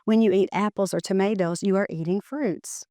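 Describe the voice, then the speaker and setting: A woman reads a children's educational picture book aloud in measured, deliberate phrasing. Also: mid-range voice, steady tone, dark tone, dry recording, clean studio sound.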